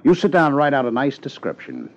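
An actor playing a bookish, drily teasing police lieutenant in a 1950s radio drama, urbane and wordy. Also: male voice, medium pitch, wildly swooping pitch, fast. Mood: businesslike, dry. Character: wry, bookish, college-educated male police lieutenant, teasing. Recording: muffled, noisy 1950s radio recording.

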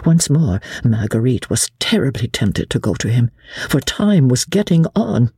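A woman's voice: very deep voice, very animated, measured pace, warm tone, breathy edge, no room sound. A woman reading an audiobook as dramatic narration, in a theatrical, suspenseful manner.

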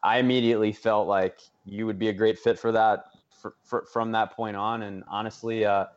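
Low-voiced man, slightly muffled radio interviewer. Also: level tone. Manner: halting, friendly, complimentary. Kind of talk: casual sports talk.

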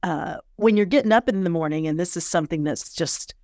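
A woman's voice: lowish voice, brisk, a little mellow, no room sound, clean studio sound. Stuffy-nosed woman hosting a motivational podcast. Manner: candid, earnest and encouraging. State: slightly stuffy from sinus pressure. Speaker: podcast host and personal coach.

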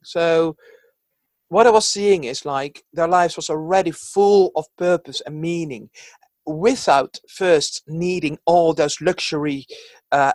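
A man's voice: on the high side, a little mellow, dry recording. A middle-aged man from the Netherlands with a Dutch accent, speaking earnestly and thoughtfully as a psychologist guest in an interview. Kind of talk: reflective interview talk.

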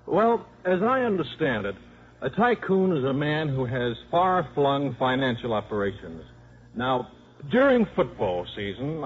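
Husky, wisecracking 1950s radio hustler dad. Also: male voice, medium pitch. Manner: sarcastic, glib. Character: wisecracking, sardonic gambling father and hustler. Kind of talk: 1950s radio drama acting. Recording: muffled 1950s radio recording.

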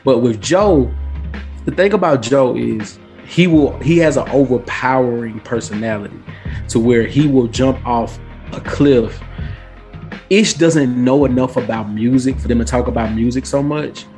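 A man's voice: mid-range voice, measured pace, a little mellow, soft background music. A man in a casual podcast debate, giving his opinions informally and repeating phrases for emphasis. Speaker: guest podcaster.

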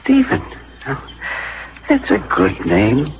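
Slow, dramatic frontier wanderer. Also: male voice, medium pitch, huge pitch swings, background noise, full character voice. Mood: gentle, weary. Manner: halting, dramatic, broken phrases. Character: gentle, weary frontier wanderer and tree planter, ageing. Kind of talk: 1950s radio drama acting. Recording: muffled 1950s radio recording, loud music.

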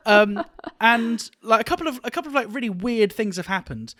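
A man with a fairly high voice, recorded close and dry. He talks like a podcast co-host bantering with friends, animated and joking, with a cheeky, sweary streak. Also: fairly quick, dry recording.

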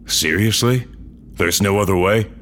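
An actor playing a world-weary, blunt old cyborg man with a wry, gruff manner in an audio drama. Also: on the low side, measured pace, a bit bright, slight background noise. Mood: indignant. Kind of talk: audio drama dialogue and first-person narration.

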